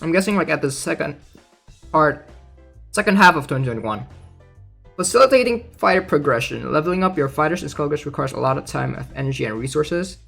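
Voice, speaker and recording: A man's voice: on the high side, expressive, brisk, creaky, fairly bright tone, husky. A man giving casual commentary as he reads out game news, informal and thinking aloud. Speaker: gaming content creator. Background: background music.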